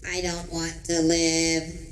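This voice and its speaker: A woman playing a morose, sarcastic shipboard helper in an audio drama, deadpan and weary of living. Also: low-pitched, takes it slowly. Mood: gloomy deadpan.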